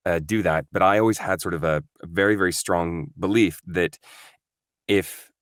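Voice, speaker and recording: A man's voice: sits low, moderate pace, dry recording, studio quality. A man from Norway, a voice actor guest, chatting in an interview, thoughtful and full of fillers and restarts. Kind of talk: casual interview chat.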